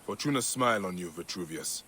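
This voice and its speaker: An actor playing a stern, protective Egyptian warrior in a video game, speaking in a measured, resolute way. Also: male voice, low-pitched, animated, a little hiss or hum. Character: stern, protective adult male Egyptian warrior, calm avenger. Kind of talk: video game cutscene dialogue and muttered asides. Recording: voice under loud game music.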